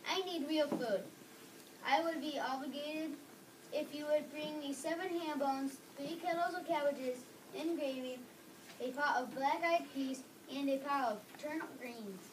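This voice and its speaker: Woman as earnest, boastful folk-hero boy, reader's theater, high voice, distant noisy recording. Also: a little slow. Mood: earnest bragging. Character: big, bragging, polite newborn boy folk hero. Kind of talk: reader's theater script reading. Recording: distant mic with clear background noise.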